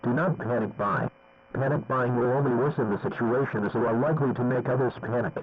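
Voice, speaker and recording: A synthetic text-to-speech man reading a formal scripted emergency broadcast in a flat, measured, impersonal way. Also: mid-range voice, husky. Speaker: emergency alert announcer. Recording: dull lo-fi audio, loud radio noise.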